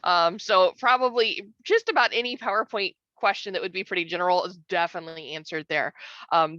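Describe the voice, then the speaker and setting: A woman with a bright, forward voice co-presents an online teaching webinar. She talks in a chatty, practical way with frequent fillers. She is close on a headset, dry and a little dull. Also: lowish voice, no echo. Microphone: gaming headset.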